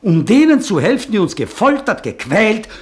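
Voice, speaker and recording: Verbitterten, unversöhnlichen Mann, sehr lebhafte Stimme, feierlich. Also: male voice, sits high, big pitch swings, moderate pace. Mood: outraged. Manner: solemn, bitter, rising to outrage. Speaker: former friend condemning the doctor. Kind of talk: 1950s radio play acting.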